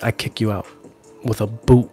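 A man's voice: fairly low pitch, level tone, normal speed, warm tone, soft background music. A man in his forties chatting casually and playfully on a livestream, often repeating himself, close to the mic. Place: small room at home. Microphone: handheld-style recorder on a stand, close up.

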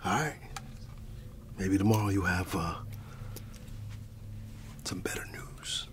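A man performing an ASMR roleplay as a laid-back, teasing flatmate, speaking in a hushed, casual, slangy way with repeated phrases. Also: low-pitched, slow, a little hiss or hum. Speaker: ASMR performer playing a roommate. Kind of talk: ASMR roleplay, whispered storytelling and one-sided chat.

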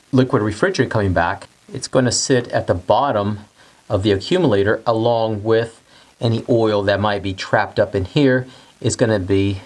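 A man's voice: on the low side, darkish tone. A man in his forties, an HVAC instructor, explaining a technical topic step by step at a whiteboard in a studio and repeating the key points. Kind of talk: whiteboard teaching lesson.

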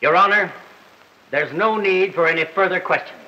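A man's voice: sits high, normal speed, pushed and bright. A man playing a pompous, accusing small-town district attorney in declamatory old film courtroom style. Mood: accusing, theatrical. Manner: declamatory, oratorical. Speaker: actor playing a prosecutor. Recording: dull, roomy old film soundtrack, faint noise.

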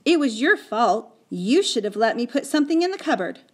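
Woman acting a headstrong, excitable boy. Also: a little high, takes it slowly. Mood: sulky. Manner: insistent, impulsive. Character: headstrong, excitable young boy, stubborn and eager. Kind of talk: acted audiobook dialogue.

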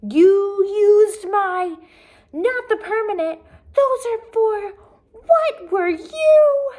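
A woman's voice: very high voice, animated, very slow, a little mellow, voice pushed up, full character voice. A woman voicing an exasperated storybook mother who speaks emphatically. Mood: flustered. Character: exasperated mother of a mischievous girl.

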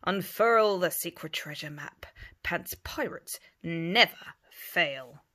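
A woman voicing a bold, boisterous male pirate captain from a storybook, in loud, hearty exclamations. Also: on the low side, slow pace, full character voice. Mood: commanding. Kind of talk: storybook character voice.

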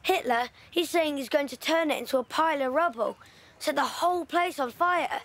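A thoughtful young evacuee girl from London, as an actress voices her in a radio play. Her voice sits high, and she tells her story slowly and reflectively. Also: a bit bright. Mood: recalling. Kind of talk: audio drama acting.

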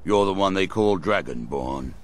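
An actor playing a menacing, accusing cultist fanatic in a video game, with a gravelly, husky voice and a solemn, pompous delivery. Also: male voice, low-pitched, lively intonation, normal speed, a little hiss or hum. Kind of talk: video game character dialogue.

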